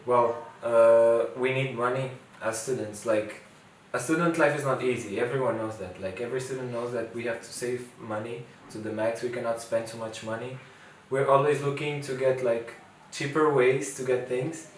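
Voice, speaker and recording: A man's voice: mid-range voice, fairly even intonation, normal speed, a little hiss or hum. Hesitant young man in an echoey room. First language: Portuguese. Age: 20s. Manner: hesitant, many fillers and restarts. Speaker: interviewee, international student. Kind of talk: casual interview chat. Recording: echoey room, distant mic, faint ambience.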